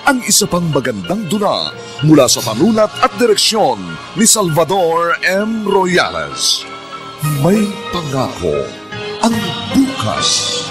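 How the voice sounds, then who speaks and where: A man's voice: a little high, huge pitch swings, slow pace. A Filipino man delivering solemn, theatrical scripted narration as a radio drama announcer, grand and poetic. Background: music.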